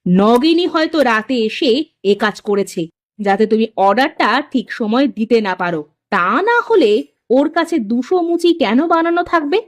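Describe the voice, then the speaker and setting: A woman playing a shrewd, resolute potter's wife in an animated moral story, expressive and assertive. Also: fairly high pitch, normal speed, dry recording, studio quality, close to an everyday voice. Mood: suspicious.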